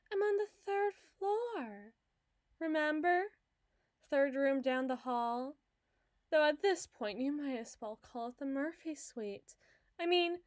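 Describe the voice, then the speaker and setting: A woman's voice: high-pitched, no room sound, studio quality. A woman playing an obsessive, lovesick hospital patient in an ASMR roleplay, talking in a dramatic, coy and wheedling way. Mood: playful, insistent. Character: obsessive, clingy lovesick female patient, theatrical flirt.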